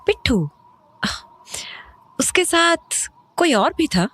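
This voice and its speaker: A woman's voice: high-pitched, sing-song, takes it slowly, a bit bright, dry recording, exaggerated voice. An actress playing an uneasy, questioning mother and wife in an audio horror drama, mixing some English into her talk. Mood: puzzled. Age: adult. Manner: questioning, conversational, Hindi mixed with English.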